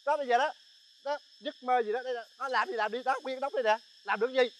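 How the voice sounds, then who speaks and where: A man's voice: very high-pitched, fast, a little hiss or hum. A man playing a fawning bandit sidekick who nags and complains at his boss. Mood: grumbling. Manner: flattering, then whiny nagging complaints. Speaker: comedy sketch actor. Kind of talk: comic sketch acting.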